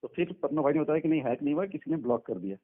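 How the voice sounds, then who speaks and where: A man's voice: on the high side, animated, very fast. A man chatting casually on a phone call, informal, familiar and reassuring as he answers questions. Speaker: artist's manager, interviewee. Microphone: telephone. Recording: tinny phone call recording.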